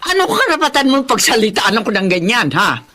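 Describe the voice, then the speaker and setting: An entitled older Filipino mother-in-law in a radio melodrama. Her voice is bright and cutting, swinging widely in pitch as she scolds. She takes offence easily and presses her demands. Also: big pitch swings, a little fast, full character voice. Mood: indignant. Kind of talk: Filipino radio drama acting.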